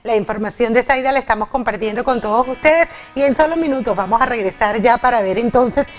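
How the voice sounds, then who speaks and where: A woman hosts a television cooking segment. Her voice sits a little high, and she keeps an upbeat tone while she speaks straight to viewers. She is recorded on a lapel mic in a studio kitchen. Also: fast talker, soft background music. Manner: upbeat, addresses viewers directly. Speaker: TV show host.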